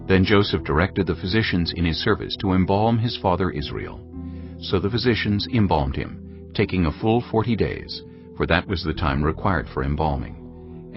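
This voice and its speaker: Deep, expressive male scripture narrator. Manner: solemn, storytelling. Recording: slightly dull audio with music behind. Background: music.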